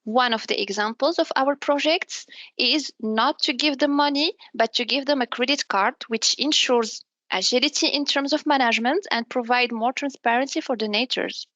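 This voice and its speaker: A woman in her thirties from Morocco, a native Arabic speaker, answering interview questions in a careful, measured way with some self-corrections. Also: high voice, fairly bright tone, no echo, muffled recording. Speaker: business development manager, guest.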